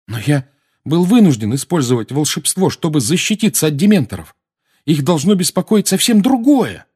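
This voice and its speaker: Male narrator as teenage boy, raised pitch, lively intonation, indignant, urgent, strained, storybook hero. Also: moderate pace, slightly rough. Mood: defensive. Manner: urgent, questioning.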